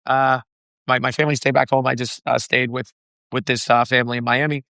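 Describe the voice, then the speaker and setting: A young man from the Dominican Republic, a founder being interviewed, talking in an animated way with frequent laughs and restarts. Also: medium pitch, brisk, gravelly, no room sound, clean studio sound. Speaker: startup founder, guest. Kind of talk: casual interview chat.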